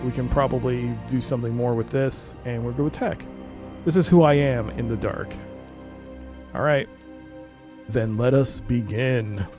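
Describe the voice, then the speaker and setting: A man's voice: medium pitch, measured pace, long pauses, a little mellow. A man giving casual gaming commentary, explaining things informally and reading on-screen text aloud. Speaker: gaming video creator. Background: game music.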